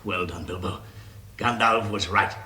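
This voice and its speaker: An actor playing a proud, suspicious dwarf leader, indignant and commanding, in a vintage radio drama. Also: male voice, lowish voice, fairly even intonation, measured pace, sharp tone. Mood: urgent, suspicious. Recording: echoey, slightly noisy vintage radio recording.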